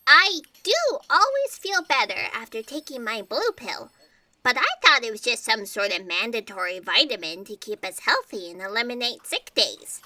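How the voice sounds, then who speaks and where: An actress playing an excitable, naive female fact checker in a comedic audio drama, melodramatic in manner. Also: a little high, moderate pace, no room sound, recorded from a distance, heavily acted. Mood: earnest. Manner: melodramatic, sighing and gasping.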